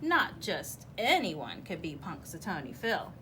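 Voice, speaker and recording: A woman's voice: fairly low pitch, wildly swooping pitch, a little slow, pushed and bright, a little hiss or hum, exaggerated voice. A woman voicing a sleepy, grumbling old male groundhog, dismissive, in a children's storybook. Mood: sleepy, grumpy.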